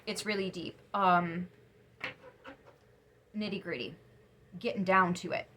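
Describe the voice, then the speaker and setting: A young woman giving a casual tarot reading to camera, chatty and emphatic, sniffly after crying, her voice turning soft when she muses. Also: lowish voice, steady tone, slow, noisy background. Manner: chatty, emphatic, drops to soft musing asides. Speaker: tarot reader.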